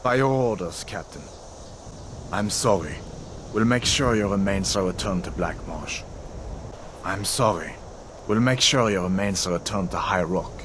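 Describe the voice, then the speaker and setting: An actor playing a dutiful, sympathetic Imperial soldier in a fantasy video game, measured and quietly authoritative. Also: male voice, low voice. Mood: resigned, apologetic. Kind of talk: video game character acting. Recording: noisy outdoor game scene ambience.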